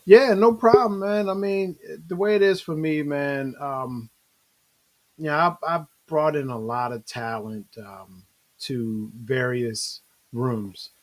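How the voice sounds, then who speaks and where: A man's voice: fairly high pitch, steady tone, takes it slowly. A man hosting an interview in a casual, informal and affirming way. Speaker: interview host. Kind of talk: casual chat.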